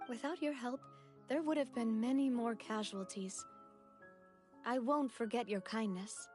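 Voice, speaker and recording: A woman's voice: fairly high pitch, measured pace, a little mellow, faint music behind, close to an everyday voice. A woman playing an earnest, dignified young leader, speaking politely and sincerely in a video game. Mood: solemn, earnest.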